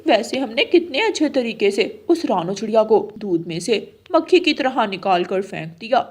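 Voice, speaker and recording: A woman's voice: on the high side, expressive, normal speed, a little mellow. A woman voicing a sly, wicked female crow thief in a cartoon, with a scheming, wheedling manner.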